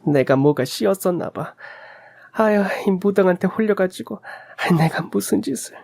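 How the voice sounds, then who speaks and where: Man acting a whining, pleading old mother-in-law, pitched up. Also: expressive, gravelly, a little mellow, heavily acted. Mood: remorseful pleading. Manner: whining, halting, wheedling. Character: old mother-in-law, self-pitying, pleading, selfish, clinging to son.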